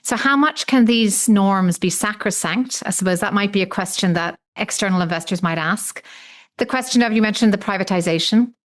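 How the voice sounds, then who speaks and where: A woman's voice: medium pitch, normal speed. A woman hosting a podcast who asks long, thoughtful questions with frequent hedges. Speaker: podcast host. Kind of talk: interviewing.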